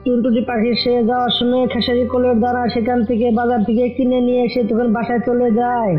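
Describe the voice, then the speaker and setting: A woman's voice: fairly high pitch, little pitch movement, quick pace, phone-quality sound. A woman narrating a scripted cartoon story as its storyteller. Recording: faint music behind the voice.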